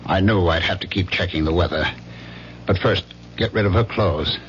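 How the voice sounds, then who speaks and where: Low male lead , acted for radio mystery: haunted killer confessing in deliberate fragments, muffled old recording with music underneath. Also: slight background noise, lightly acted. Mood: cold resolve. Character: haunted, confused man turned killer, coldly recounting his crime. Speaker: lead actor and narrator. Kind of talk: radio drama first-person narration.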